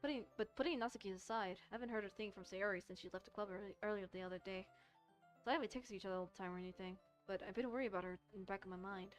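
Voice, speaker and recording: A woman streamer reading a visual novel aloud and commenting on it, haltingly, often repeating and rereading phrases. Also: mid-range voice. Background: soft music.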